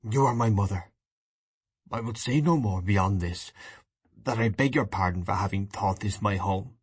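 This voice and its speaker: An earnest, idealistic young man speaks in a low, husky voice, as a man puts him on. He sounds steady and grave. The recording is close and dry. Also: moderate pace, no room sound. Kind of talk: audiobook dialogue reading.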